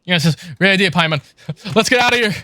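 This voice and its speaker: A man's voice: sits high, expressive, fast, pushed and bright, no room sound. A man giving casual live gameplay commentary as a streamer, with a playful, wry way of talking. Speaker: game streamer. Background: faint game music.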